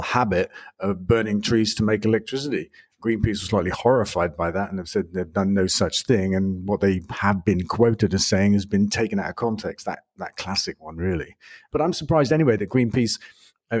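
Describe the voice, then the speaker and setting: A man chatting casually as a podcast regular, telling stories in a relaxed way. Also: fairly low pitch. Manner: relaxed storytelling, laughs often. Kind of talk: casual chat.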